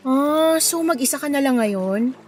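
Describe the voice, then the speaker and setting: An actress with a Filipino accent playing a friendly, playful young local woman in a radio drama, lively and coaxing. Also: sits high, a little slow, soft background music. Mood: curious. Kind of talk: Tagalog radio drama acting.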